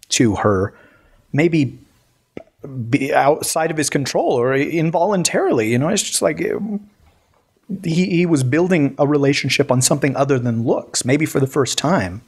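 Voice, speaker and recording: A man co-hosting a casual podcast, telling stories in a lively way with lots of restarts. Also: on the high side, measured pace, breathy edge. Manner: animated storyteller, many restarts. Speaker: podcast co-host. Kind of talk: casual chat.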